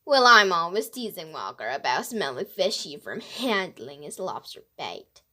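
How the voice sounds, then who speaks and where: A woman voicing a mischievous woman mystery writer in a storybook, explaining with animated emphasis. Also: fairly low pitch, normal speed, voice pushed down, full character voice. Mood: amused. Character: playful, mischievous woman mystery author confessing her scheme.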